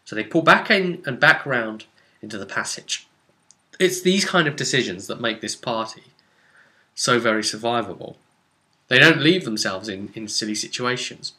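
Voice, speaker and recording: Bright-voiced man recounting a tabletop game. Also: mid-range voice, expressive, moderate pace. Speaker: game master. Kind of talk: casual recap of a tabletop role-playing session.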